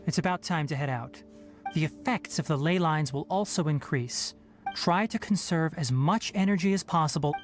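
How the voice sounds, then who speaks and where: Woman acting a lower-voiced, composed forest ranger. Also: natural voice. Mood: calm, instructive. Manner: measured, instructive, careful phrasing. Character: composed young female forest ranger, practical and watchful. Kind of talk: video game character acting. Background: music.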